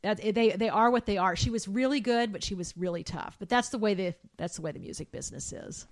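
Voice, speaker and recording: A middle-aged woman, a trained soprano, recalling her past in an animated way, with fillers and restarts. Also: fast talker. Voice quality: high soprano singer. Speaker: guest. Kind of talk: conversational interview.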